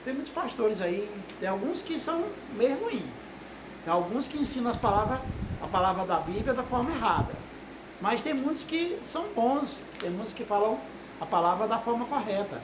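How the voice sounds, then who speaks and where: High-voiced older man preaching. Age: 60s. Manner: rambling, frequent tag questions. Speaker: lay preacher. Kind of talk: informal video sermon. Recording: echoey room with noise.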